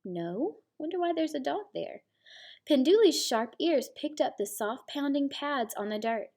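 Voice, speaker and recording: Female teacher, slightly high voice, storybook read-aloud for young children, explanatory delivery. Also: big pitch swings, measured pace, a little mellow.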